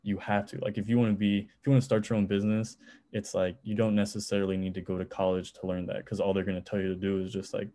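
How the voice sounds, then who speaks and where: Mellow, flat-voiced young man. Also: lowish voice, quick pace. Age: young adult. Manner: relaxed, rambling, many "it's like" fillers. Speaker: podcast guest, music producer. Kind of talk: casual interview chat.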